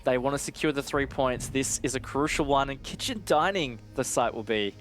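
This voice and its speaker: Mid-pitched male esports analyst on air. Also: lively intonation, moderate pace, fairly bright tone, faint music behind. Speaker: esports match commentator. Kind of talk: analytical live commentary, conversational.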